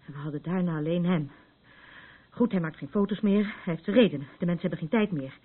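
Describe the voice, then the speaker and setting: The recording is narrow and phone-like. a battered, anxious woman in a radio drama. Her voice is fairly low and husky, and she speaks in halting, repetitive rambles. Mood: brooding, insistent. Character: troubled, battered woman, anxious, defending her abuser, insisting she is sober. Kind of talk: radio play acting. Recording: muffled, phone-like narrow band.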